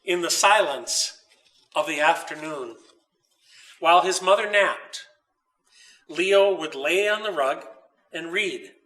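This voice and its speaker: Male storybook reader, higher-pitched and crisp-edged bright tone, deliberate phrasing, recorded a little off the mic. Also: recorded from a distance, low-quality recording. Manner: measured, deliberate storytelling with pauses. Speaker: author reading own story. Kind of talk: children's storybook read aloud. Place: old dairy barn.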